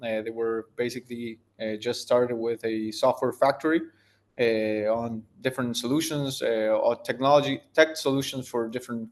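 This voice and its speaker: A man's voice: medium pitch, fairly even intonation, moderate pace. A man, answering interview questions as a tech founder in a thoughtful way, searching for words as he goes. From Argentina. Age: 30s.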